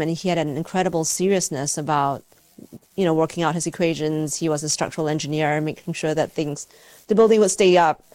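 Woman from Malaysia, interview guest, thoughtful, conversational, pausing for words, lowish pitch. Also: no echo. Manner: halting, thoughtful, searching for words. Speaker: scientist and university teacher, interview guest. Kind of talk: reflective conversational interview answers.

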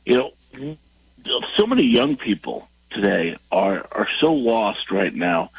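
A man's voice: fairly low pitch, very animated, takes it slowly, gravelly, breathy edge, low-quality recording. A man with a cold, calling in by phone as a guest columnist, talking in a wry, self-mocking way. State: has a cold. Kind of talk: radio call-in chat. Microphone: phone line.

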